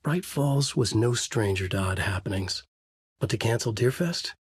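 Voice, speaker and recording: An actor playing a brooding, haunted male writer, narrating a noir horror thriller in a measured, grave, dramatic way with a husky voice. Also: on the low side, animated, no echo, clean studio sound. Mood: brooding narration.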